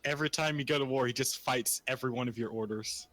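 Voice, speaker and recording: A man's voice: medium pitch, fast, a bit bright, no room sound. A man joking with friends on a voice call during a game. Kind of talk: casual chat over voice call.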